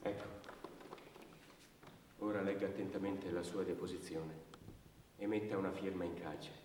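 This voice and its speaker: A patient, formal police sergeant, played by a male actor, speaks in measured, procedural phrases. The voice is deep and distant, carried on long hall reverb in a stage-style radio drama. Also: steady tone, a little mellow, close to an everyday voice. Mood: businesslike. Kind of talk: theatrical radio drama dialogue. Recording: distant, echoey hall with faint noise.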